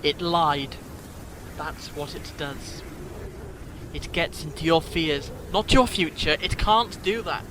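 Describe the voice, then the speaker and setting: High-voiced, earnest protective hero played by a man. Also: slow, a crowd in the background. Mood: reassuring. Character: urgent, protective male time-travelling investigator, quick-witted and reassuring. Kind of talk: fan audio drama acting.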